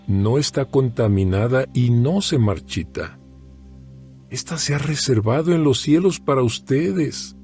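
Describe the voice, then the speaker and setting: A man giving a solemn, measured scripted reading of scripture as a narrator. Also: mid-range voice, dark tone. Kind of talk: scripted dramatized Bible reading. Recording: music bed under the voice. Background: music.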